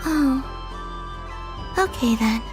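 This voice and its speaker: High-voiced, serene moon goddess. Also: female voice, expressive, very slow, over loud music, full character voice. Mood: gentle. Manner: simple, understated, earnest. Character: serene, naive young moon goddess, curious and literal. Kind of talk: video game voice acting.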